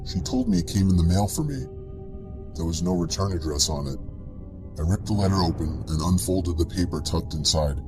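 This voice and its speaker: A man reading a scripted first-person scary story as a narrator, with music playing behind him. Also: sits low.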